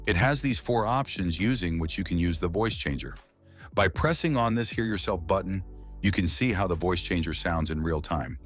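Male tutorial host, low-pitched, scripted narration with music underneath. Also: lively intonation, normal speed.

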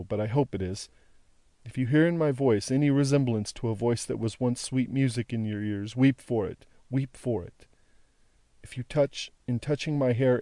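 Casting: man as devoted young daughter in classic audiobook. Gentle, pleading, rhythmic appeals, soft dark timbre, dry sound. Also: no room sound, lightly acted. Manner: low, tender, imploring, rhythmic appeals.

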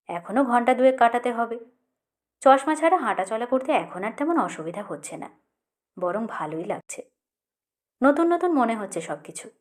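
Woman, audiobook narrator, higher-pitched voice, expressive literary storytelling.

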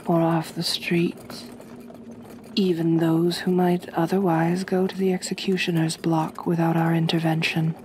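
A woman playing a kind, possessive aristocratic vampire mistress in an ASMR roleplay, speaking gently in a measured, genteel, soothing way. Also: on the low side, slight background noise.

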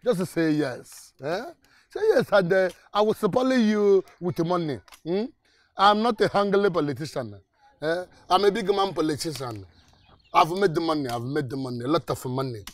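A man's voice: fairly high pitch, expressive, moderate pace, lightly acted. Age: adult. Figure: a man playing a boastful rich village politician. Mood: coaxing. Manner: blustering, boastful.

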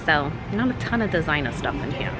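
Young female vlogger, very lively pitch, exclamatory and playful, chatty shopping-haul delivery into a handheld camera. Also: brisk, music in the background. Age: young adult. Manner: playful, exclamatory, theatrical asides. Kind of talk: casual shopping vlog. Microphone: handheld camera at arm's length.

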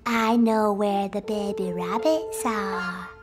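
A woman's voice: mid-range voice, steady tone, slow, over music, natural voice. A woman voicing an eager, bossy little princess of about four in a children's cartoon, with a blunt, emphatic childlike manner. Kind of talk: animated children's cartoon acting.

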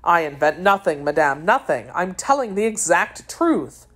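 A woman's voice: on the low side, big pitch swings, normal speed, fairly bright tone. A woman voicing an ardent, persistent young gallant in an audiobook, with a courtly, earnest manner. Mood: jealous. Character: ardent, jealous, persistent gallant young man under twenty.

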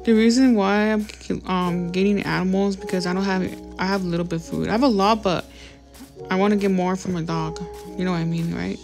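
Casual woman gamer narrating her own play, chatty and apologetic, loud music bed behind her. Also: mid-range voice, steady tone, brisk, gravelly. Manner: apologetic, rambling, many fillers. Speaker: gaming YouTuber. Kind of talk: casual gameplay commentary.

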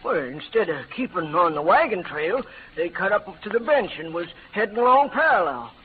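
High-voiced gossipy frontier townsman. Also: very animated, moderate pace. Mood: urgent, confiding. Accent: American. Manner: folksy, rustic tale-telling. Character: Chuck, gossipy frontier townsman passing on news. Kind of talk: 1940s-50s western radio acting. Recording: faintly noisy, narrow-band old radio recording.

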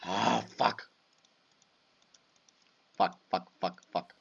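A man's voice: on the low side, fairly even intonation, drawn-out words, vocal fry, fairly bright tone, slightly rough, highs cut off. A young man with a Romanian accent commenting over his own gameplay at home, cursing at misplays. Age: young adult. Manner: swears and exclaims at misplays. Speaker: gaming YouTuber. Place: small home room.

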